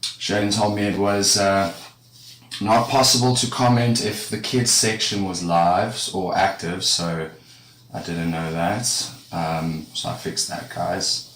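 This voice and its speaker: Deep-voiced man chatting during an art stream. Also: slow. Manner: relaxed and rambling, talks to viewers while drawing. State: slightly congested from a cold. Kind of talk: casual live-stream chat while drawing. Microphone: streaming setup with a camera facing him. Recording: echoey room with faint background noise.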